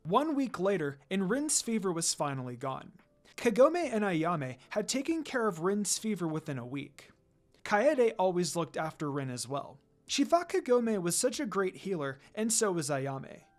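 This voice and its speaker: An amateur narrator reads fan fiction aloud. The voice sits at a middle pitch and moves up and down a great deal, giving the storytelling an expressive feel. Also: moderate pace.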